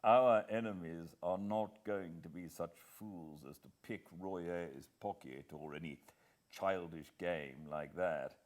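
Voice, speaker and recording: A British man voicing an upper-class senior government official in an audiobook, brisk, confiding and emphatic. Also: sits low. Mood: grave, emphatic.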